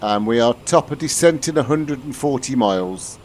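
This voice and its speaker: A British man talks through a live flight sim stream, answering his chat in a relaxed, friendly way. He often restarts his sentences while explaining the cockpit. His voice sits at an ordinary, mid-range pitch. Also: moderate pace, slight background noise. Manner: chatty, answers viewers, frequent restarts.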